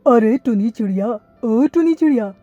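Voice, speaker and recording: A woman's voice: fairly high pitch, lively intonation, moderate pace, dark tone, dry recording, lo-fi sound, soft background music. A woman voicing a friendly, easygoing male parrot in a cartoon story, casual and coaxing.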